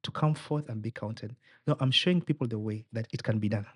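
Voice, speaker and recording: A man's voice: mid-range voice, fairly quick, no echo, studio quality. A young man with a Kenyan accent, speaking as a political hopeful in an interview, earnest and rallying, slipping in Swahili phrases. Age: young adult. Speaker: political aspirant guest.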